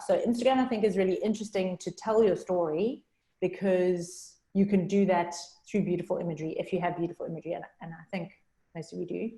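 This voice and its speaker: A woman with a South African accent giving a chatty workshop talk on a video call, talking fast and informally. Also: lowish voice, dark tone. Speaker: workshop speaker. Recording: roomy echo.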